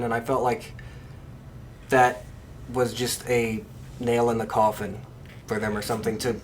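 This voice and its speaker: A man's voice: medium pitch, slight background noise. A man co-hosting a casual podcast discussion and giving his opinions conversationally. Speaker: podcast co-host.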